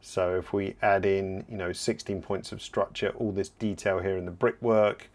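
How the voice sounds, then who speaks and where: Slightly hoarse man teaching software live. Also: low voice, normal speed. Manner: relaxed, explanatory, thinking aloud while working. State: slightly hoarse, clearing throat. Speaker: software trainer hosting a livestream. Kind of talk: live software tutorial with viewer Q&A.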